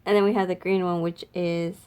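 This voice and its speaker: Slightly raspy, congested woman chatting casually. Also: on the low side, level tone, brisk, mellow, muffled recording. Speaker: makeup vlogger. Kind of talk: casual makeup swatch review chat.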